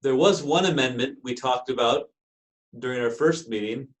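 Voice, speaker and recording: An American man lecturing to a class as a university instructor, in a halting way padded with frequent filler phrases. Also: mid-range voice, low-quality recording. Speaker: university lecturer in special education.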